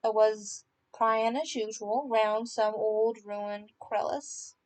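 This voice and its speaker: A woman hosting a story podcast, reading folk tales aloud with informal asides and stumbling haltingly over unfamiliar words. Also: fairly high pitch, steady tone, a little mellow. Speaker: podcast host and story reader.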